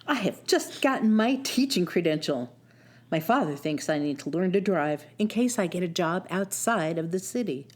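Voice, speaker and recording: A woman's voice: fairly low pitch, expressive, moderate pace, a little mellow. A woman acting as a sweet, practical young teacher in love, in a radio story. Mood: polite, hesitant.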